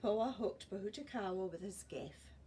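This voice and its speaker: A woman's voice: mid-range voice, normal speed, warm tone, a little hiss or hum. A woman reading a children's story expressively, with playful asides to listeners.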